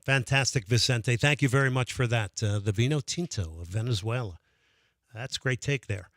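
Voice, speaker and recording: A man's voice: mid-range voice, moderate pace, fairly bright tone, dry recording, clean studio sound. A man hosting a radio sports call-in show, talking with enthusiasm and taking callers. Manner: enthusiastic, reads out phone number repeatedly.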